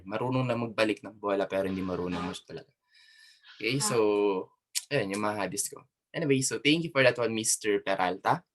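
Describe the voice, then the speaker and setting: A man's voice: lowish voice, a bit bright. A male teacher with a Filipino accent running an online class over a video call, chatting loosely and mixing two languages with many fillers. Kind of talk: online class, casual instructions.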